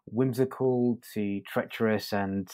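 A man co-hosting a podcast review, talking casually in a halting way that often circles back on itself. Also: fairly low pitch, fairly even intonation, darkish tone. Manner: halting, circling delivery with many hedges. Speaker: podcast co-host reviewing audio dramas.

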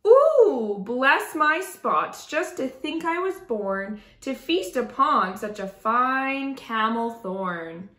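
A woman's voice: a little high, takes it slowly, sharp tone, reverberant. A woman voicing a silly young male giraffe from a storybook, exclaiming and pleading theatrically. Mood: delighted.

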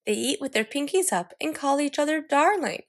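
Excitable little girl acted by a woman, high voice with some creak, dramatic storybook delivery, proud and fancy. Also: a little fast. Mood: proud, eager.

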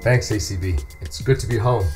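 A man's voice: fairly low pitch, brisk, darkish tone, faint music behind, lightly acted. A middle-aged man playing a modest, earnest journalist being interviewed on a scripted podcast. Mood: sheepish. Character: modest, earnest male wire-service journalist. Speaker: guest journalist. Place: small furnished podcast studio. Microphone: studio microphone on a boom arm.

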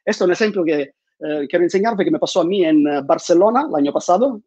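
A high-voiced man, often restarting and repeating words as he gives a talk from home. His lapel mic sounds dry and close. The video call is muffled and lo-fi. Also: expressive, fast, a little mellow, husky, no echo. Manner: many fillers and repeated words. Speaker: conference speaker. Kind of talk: online conference talk. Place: home room with bookshelves.

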